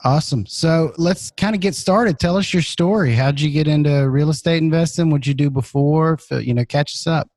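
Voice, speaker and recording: A man's voice: a little high, normal speed, few pauses, a little mellow, no room sound, studio quality. A man hosting a real estate podcast, talking in a friendly, casual way, full of "kinda" and "like". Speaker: podcast host. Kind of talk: podcast interview.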